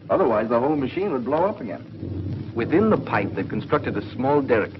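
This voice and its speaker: An actor playing a patient, authoritative middle-aged scientist in a 1950s TV space serial, speaking in a measured, explanatory way. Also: male voice, mid-range voice, very animated, close to an everyday voice. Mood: grave, explanatory. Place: studio laboratory set. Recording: dull, noisy 1950s TV soundtrack.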